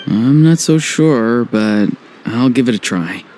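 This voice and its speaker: A man playing a serious, pensive young warrior in a video game, talking in a reserved, deliberate way. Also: mid-range voice, expressive, darkish tone, soft background music. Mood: hesitant. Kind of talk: video game cutscene acting.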